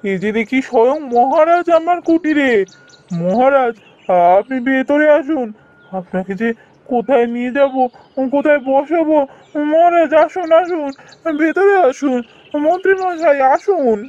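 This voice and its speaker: A performer playing a poor, gentle, anxious village husband in a fairy-tale cartoon, earnest and deferential in manner. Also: high voice, animated, measured pace, highs cut off. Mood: flustered welcome.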